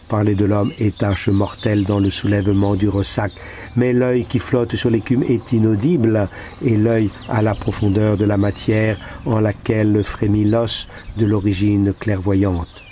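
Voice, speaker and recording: A man with a fairly low, very mellow and dark voice recites poetry. His delivery is solemn and deliberate, with pauses between phrases. Faint background noise of birds and insects sits behind the voice. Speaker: poet reading own work.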